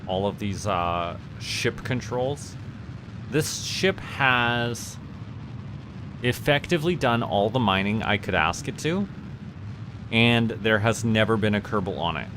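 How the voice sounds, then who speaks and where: A man's voice: lowish voice, expressive, takes it slowly, background noise. A man giving casual livestream game commentary, thinking aloud as he goes. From Canada. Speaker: game streamer.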